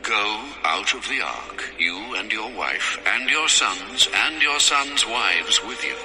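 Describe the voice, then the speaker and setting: Casting: an ancient, commanding God for audio scripture drama, very low voice, solemn delivery, music underneath. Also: male voice, animated. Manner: slow, solemn, measured.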